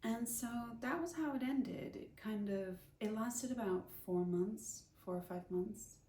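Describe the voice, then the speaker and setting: A woman's voice: medium pitch, a little slow, mellow. A woman in her forties telling a personal story in a candid, conversational, emphatic way. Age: 40s. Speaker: storyteller, vlogger. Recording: roomy echo, faint background noise.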